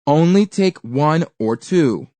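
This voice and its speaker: A woman's voice: low voice, lively intonation, slow pace, mellow, no echo. A woman voicing a clever, sweet-spoken village girl from a storybook, kind but firm as she instructs. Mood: cautioning.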